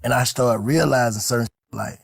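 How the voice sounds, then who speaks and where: A man with an American accent and a gravelly, husky voice, chatting casually and reflectively as an interviewer. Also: medium pitch, brisk.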